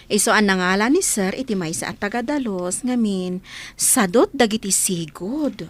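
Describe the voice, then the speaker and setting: A woman's voice: mid-range voice, expressive, moderate pace, lightly acted. A woman with an Ilocano accent acting a nosy, exasperated office supervisor in a radio comedy drama. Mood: chatty. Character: bossy, nosy female office supervisor, exasperated with lazy cleaners.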